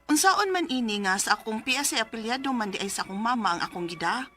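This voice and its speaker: A woman's voice: on the high side, animated, fast, a bit bright. A woman acting a troubled mother earnestly reading her letter for advice in a radio drama, with a Cebuano accent. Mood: troubled, pleading.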